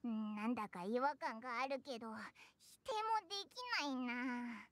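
A woman's voice: a little high, normal speed, lightly acted. A woman voicing a tiny childlike fairy companion in an anime game, blunt, wary and grumbly. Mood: doubtful. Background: game background music.

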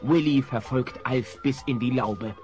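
An actor narrating a family comedy radio play as a wry storyteller. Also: male voice, medium pitch, measured pace, music in the background, lightly acted.

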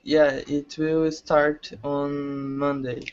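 A man's voice: a little high, little pitch movement, very slow, smooth. A young man, a student on a video call, answering in hesitant beginner English. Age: young adult. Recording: dull video call audio.

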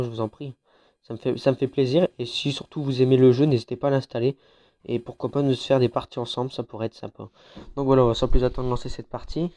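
A man's voice: mid-range voice, mellow. Congested French gamer narrating his match. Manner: chatty, informal, with frequent fillers. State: has a head cold, congested. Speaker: gaming YouTuber. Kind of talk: casual mobile game commentary.

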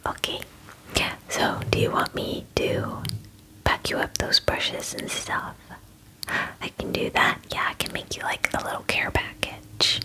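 A woman in her twenties whispering gently and soothingly in an ASMR personal attention roleplay, close to the microphone. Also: poor audio. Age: 20s. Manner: gentle, soothing, reassuring, unhurried. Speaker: ASMR roleplayer playing a spa groomer. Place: small bedroom, indoors. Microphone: close microphone, near the camera.

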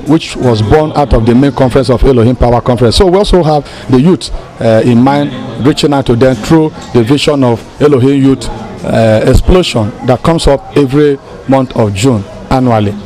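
A man's voice: medium pitch, moderate pace, dark tone, rough recording. A middle-aged man with a Nigerian accent, a pastor explaining things in an interview with frequent hesitations. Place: outdoors beside a building. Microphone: handheld interview microphone.

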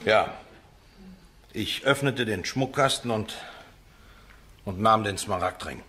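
An actor playing a defensive, down-to-earth accused man in a radio play, speaking haltingly and colloquially. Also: fairly low pitch, sharp tone. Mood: uneasy, defensive.

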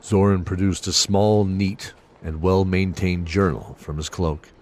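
A man narrating a scripted fantasy story in a measured, dramatic manner. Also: deep voice, fairly even intonation, a little mellow, a little hiss or hum.

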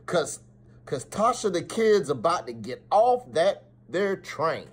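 A man voicing an excitable, folksy town barber from a Wild West storybook. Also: fairly high pitch, takes it slowly, breathy edge, voice pushed up, exaggerated voice. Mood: anxious.

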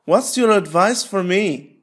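A man leads an English drill in a somewhat high voice. He stretches each phrase out word by word, then speeds through quick explanations. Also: expressive, a bit bright. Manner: repeats phrases slowly, stretching words apart; explains rapidly between drills. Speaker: English teacher. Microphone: voice-over.

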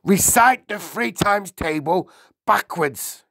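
A man voicing an enormous tyrannical headmistress in a storybook, with a booming, barking delivery, menacing and full of contempt. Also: animated, slow pace, creaky, a bit bright, dry recording, studio quality, full character voice. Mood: barking command. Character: enormous tyrannical headmistress, a bellowing, insulting bully woman.

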